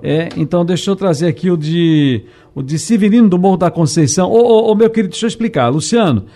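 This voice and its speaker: A man hosting a radio call-in show, friendly and brisk, reading listeners' questions and greeting callers. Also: high voice, expressive, warm tone. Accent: Brazilian Portuguese.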